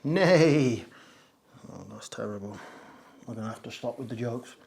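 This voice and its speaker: Slightly hoarse man, rambling casually. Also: mid-range voice, expressive, measured pace, a little mellow. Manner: rambling, many "uh" and "so" fillers, self-mocking jokes. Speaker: video creator explaining audio gear. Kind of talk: casual talk to camera. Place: conservatory used as a home studio, a little echoey. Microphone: wireless lapel mic.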